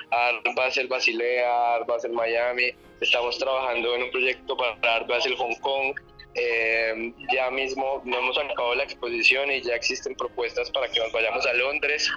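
A Colombian painter answering interview questions in an earnest, sincere way, his pace quickening when he gets excited. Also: medium pitch, muffled recording.

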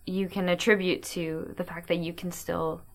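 A woman's voice: on the low side, flat delivery, normal speed. A young Canadian woman hosting a podcast, speaking earnestly and reflectively in casual chat. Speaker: podcast host.

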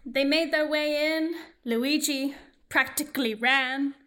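A woman's voice: sits high, slow, bright, forceful tone. A woman narrating a comic radio play like a mock storybook, with wry, rambling asides.

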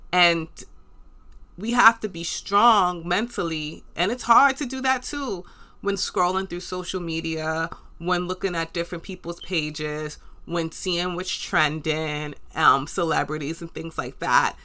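A woman's voice: sits low, pushed and bright. A woman with a New York accent hosting a podcast, talking earnestly and encouragingly and repeating words for emphasis. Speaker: podcast host. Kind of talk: motivational podcast monologue.

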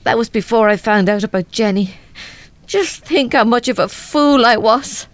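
A woman's voice: a little high, moderate pace, no room sound, lightly acted. An actress playing an anxious, worn-down, self-doubting mother in a radio drama. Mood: anxious despair. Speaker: radio play actor. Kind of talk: live radio drama acting.

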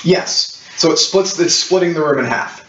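A man's voice: on the high side, moderate pace, fairly bright tone. Man running a tabletop game, distant roomy mic. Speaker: game master. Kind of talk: narrating a tabletop role-playing game. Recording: roomy, muffled distant mic with clicks, hiss.

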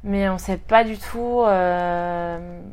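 A woman in her thirties from Brittany, a guest talking casually in an interview, with many pauses and fillers. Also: lowish voice, steady tone, a little mellow, a little hiss or hum. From Brittany, France. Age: 30s.

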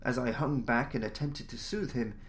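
A man reading a story aloud in a measured, formal, theatrical way, as a podcast narrator. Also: medium pitch. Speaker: narrator, audiobook-style podcast reader. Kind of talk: dramatic scripted story narration. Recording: noticeable background noise, jungle-like ambience.

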